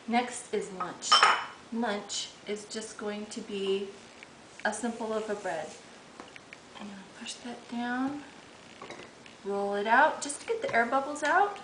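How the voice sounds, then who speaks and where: A woman's voice: on the high side, very noisy. A middle-aged woman hosting a casual cooking demonstration in a home kitchen, chatty and upbeat as she works. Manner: chatty, upbeat, talks while working dough. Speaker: cooking show host. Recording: echoey kitchen with clatter.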